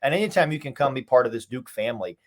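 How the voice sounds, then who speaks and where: Fast-talking man giving sports analysis. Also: medium pitch, lively intonation. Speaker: radio sports play-by-play announcer, interview guest.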